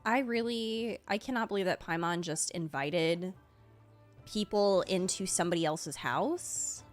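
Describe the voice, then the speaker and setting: A young woman giving casual, slangy reaction commentary as a game streamer, excitable and giggly. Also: fairly low pitch, unhurried. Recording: game music behind.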